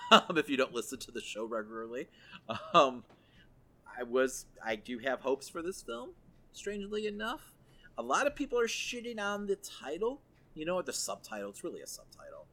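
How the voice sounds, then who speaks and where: A man's voice: a little high, very animated, moderate pace, bright, forceful tone. A man co-hosting a podcast, chatting casually through entertainment news. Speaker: podcast co-host.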